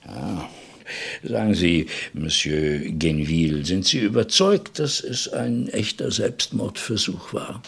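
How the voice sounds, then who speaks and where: A man's voice: deep voice, lively intonation, takes it slowly, creaky, a bit bright, breathy edge, full character voice. A man acting a probing, sceptical police commissioner in a crime radio play, questioning hesitantly and echoing others' words. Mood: probing, polite.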